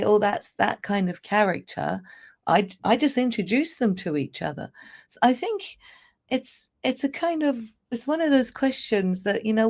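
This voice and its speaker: A woman with a croaky, hoarse voice, talking as a guest in a thoughtful, questioning way. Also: a little high, darkish tone. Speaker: guest in an audio room. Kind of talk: casual chat.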